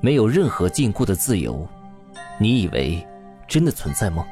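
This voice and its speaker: A man playing an aloof, philosophical demon king in a fantasy audio drama, speaking in measured, formal, archaic phrases. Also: on the low side, sing-song, warm tone, faint music behind. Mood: musing.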